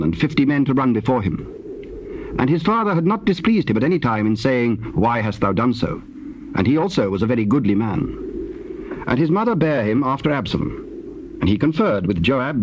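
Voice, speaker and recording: Man, scripture narration, measured, formal, mid-pitched, slight tape noise. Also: big pitch swings. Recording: faintly noisy old cassette tape.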